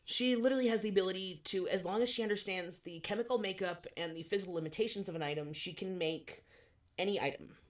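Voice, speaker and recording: A woman in her twenties co-hosting a podcast, chatting casually with animated, swearing asides. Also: mid-range voice, fast. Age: 20s. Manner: animated, swearing, self-deprecating. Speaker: podcast co-host. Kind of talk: casual chat.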